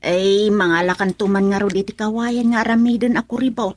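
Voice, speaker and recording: A woman's voice: medium pitch, level tone, brisk, a little hiss or hum, lightly acted. A woman acting a homely village mother in an Ilocano radio drama, folksy and given to exclaiming. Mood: curious. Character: homely village mother chatting with her grown son.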